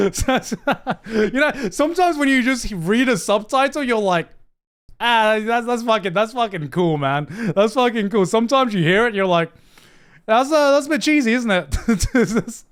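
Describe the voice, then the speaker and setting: A man's voice: very high voice, lively intonation, normal speed, smooth. A young man casually reacting to an anime trailer on a live stream, swearing freely, laughing often and repeating himself as he thinks aloud. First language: not Japanese.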